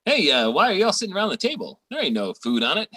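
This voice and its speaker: A man's voice: high voice, wildly swooping pitch, fast talker. Man playing Al, a dopey slangy guy, noisy recording. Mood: casual, puzzled. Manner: folksy, slangy. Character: easygoing, hungry, dopey boyfriend Al. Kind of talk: 1950s radio comedy acting. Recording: very noisy, with clicks.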